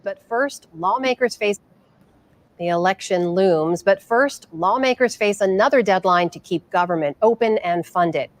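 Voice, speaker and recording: A woman's voice: medium pitch, animated, a little slow. A woman news anchor presenting and asking questions in broadcast style.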